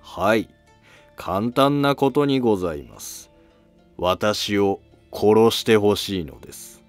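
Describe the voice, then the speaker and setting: A man playing a calm, scheming, self-sacrificing court noble in formal archaic speech for a history drama. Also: lowish voice, takes it slowly, dry recording, soft background music. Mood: grave resolve.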